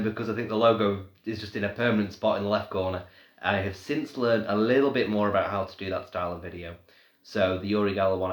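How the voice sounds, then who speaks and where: A young man who is still ill talks in a slightly nasal, lowish voice, rambling through a casual live stream update with frequent ums and false starts. The sound is roomy and echoey, as if recorded in a bare room. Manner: rambling, many ums and restarts. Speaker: paranormal blogger.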